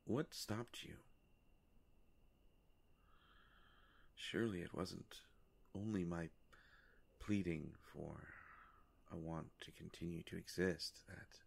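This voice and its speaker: A man voicing an ancient male vampire in an intimate roleplay monologue, courtly and melancholy, with formal, halting, hushed phrasing. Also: low-pitched, steady tone, creaky, husky, exaggerated voice. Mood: curious, intense.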